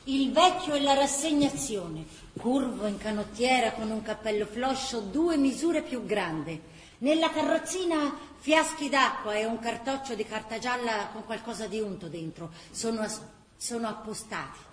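A woman's voice: a little high, expressive, normal speed, natural voice. A woman narrating a radio play theatrically, in vivid, clipped descriptive phrases. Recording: roomy recording with faint background noise.

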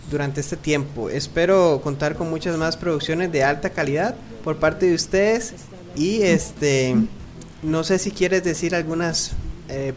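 A man, speaking as an interviewer in a formal, courteous and effusive way. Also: on the high side. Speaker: interviewer, blog host.

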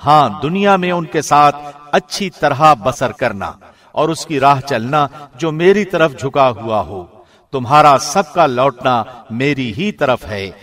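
A man giving a formal studio reading of a scripture translation, measured and solemn. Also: medium pitch. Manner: measured, solemn, even delivery. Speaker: translation reader. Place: recording studio.

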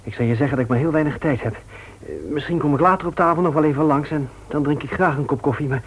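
A male radio play actor playing a nervous, earnest bachelor, speaking in a halting but insistent way. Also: a little high, a little hiss or hum, natural voice, rough recording. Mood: hurried. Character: nervous, hurried bachelor friend, guilt-ridden and earnest. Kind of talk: naturalistic Dutch radio drama acting.

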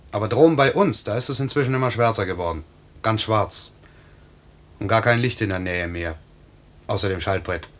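Lively, boyish young night pilot. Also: male voice, on the low side. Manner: teasing, dreamy storytelling. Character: boyish, swaggering young newlywed night pilot, teasingly tender. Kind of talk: 1950s German radio play acting.

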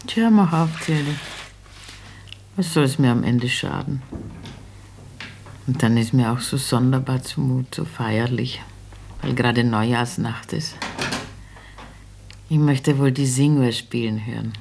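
An actress playing an anxious, humble old mother in a German radio drama, homely and hesitant, with a raspy voice. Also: extremely low pitch, takes it slowly, pauses often, a little mellow, a little hiss or hum, heavily acted. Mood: wistful, frail. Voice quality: raspy, creaky.

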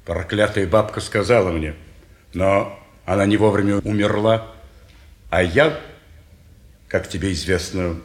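A calm, persistent male suitor in a stage drama. His voice sits fairly low and he speaks in measured, insinuating phrases. The recording is an echoey 1950s theatre one. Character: calm, insistent, worldly man pursuing a former lover.

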